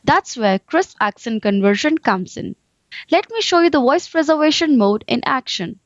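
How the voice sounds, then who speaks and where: Female call center agent demo voice, high pitch, lo-fi clip. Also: animated, normal speed, no room sound. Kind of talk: scripted demo narration.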